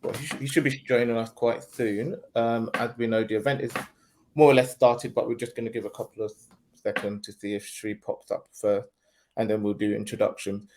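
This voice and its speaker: A man hosting and interviewing on an online webinar call, talking in a relaxed, conversational way with ums and restarts. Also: lowish voice, fairly quick, a little hiss or hum.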